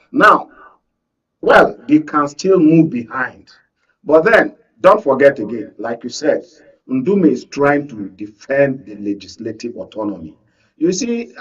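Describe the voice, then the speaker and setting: A man's voice: medium pitch, breathy edge. A Nigerian man speaking as an analyst in a political panel discussion, emphatic and punctuating his points with rhetorical questions. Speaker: panel guest, media analyst. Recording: distant mic, dull lo-fi sound.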